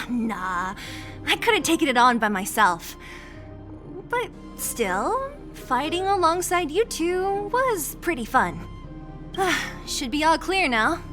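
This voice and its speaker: A woman's voice: high voice, big pitch swings, slow pace, a bit bright, soft background music, full character voice. A woman voicing a brash, scrappy young underground fighter in a video game, with a blunt, casual, cocky manner. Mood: breezy, confident.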